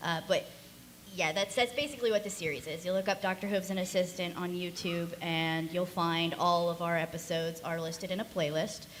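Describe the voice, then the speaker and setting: A woman's voice: lowish voice, fairly even intonation, normal speed. Woman hosting a panel in an echoey hall. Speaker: panel host, series founder and voice actor. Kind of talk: informal convention panel talk. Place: large convention hall, live panel. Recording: echoey noisy convention hall PA.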